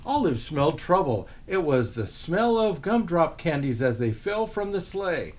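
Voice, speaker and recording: Guy reading a kids picture book, expressive, takes his time, slightly high voice that swoops around a lot. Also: unhurried. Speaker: storyteller. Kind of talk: children's picture book read-aloud.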